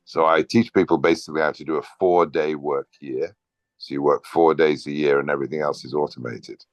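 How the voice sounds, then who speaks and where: A man in his sixties speaks over a video call in an extremely low, deep voice. He rambles earnestly as he introduces himself with spiritual phrasing. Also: fairly even intonation, fairly quick, dry recording. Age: 60s. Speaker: meetup participant, systems designer.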